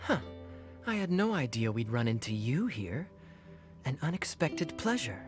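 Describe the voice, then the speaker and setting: A flippant, teasing young knight in an anime game. His smooth voice swings expressively with drawling irony. Clear music plays behind him. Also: medium pitch, very animated, measured pace. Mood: wry, amused. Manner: wry, playful, drawling irony. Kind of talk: anime video game cutscene acting.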